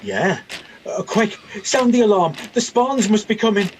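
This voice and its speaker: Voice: mid-range voice, big pitch swings, normal speed, a little hiss or hum, lightly acted. An actor playing an observant, matter-of-fact Trojan soldier in a comic radio play, speaking conversationally. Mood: alarmed.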